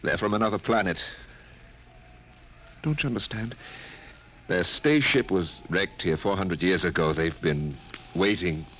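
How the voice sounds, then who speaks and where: An actor playing a shaken, earnest scientist speaking haltingly in a 1950s radio drama. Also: male voice, low-pitched, fairly bright tone. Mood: insistent. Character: shaken male scientist, insistent survivor of an ordeal. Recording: slightly noisy old radio recording.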